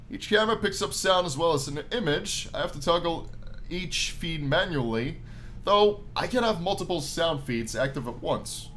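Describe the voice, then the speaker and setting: A man giving expressive let's play commentary, reading visual novel text aloud and adding his own drawn-out, enthusiastic asides. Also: a little high. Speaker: gaming YouTuber. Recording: noticeable background noise.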